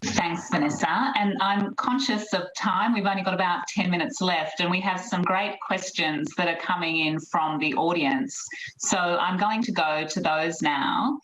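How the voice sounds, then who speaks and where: Slow-spoken woman moderator, echoey room. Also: fairly low pitch, a bit bright, slight background noise. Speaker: panel moderator. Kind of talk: moderating a webinar.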